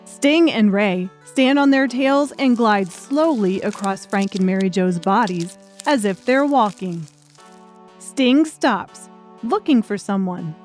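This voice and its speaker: A woman's voice: mid-range voice, animated, takes it slowly, a little mellow, soft background music. A woman reading scripted audiobook narration as the storyteller.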